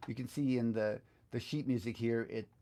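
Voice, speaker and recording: A middle-aged man giving a casual step-by-step tutorial, unhurried and informal, often thinking aloud as he works. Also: mid-range voice, steady tone, mellow. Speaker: guitar teacher giving a software tutorial.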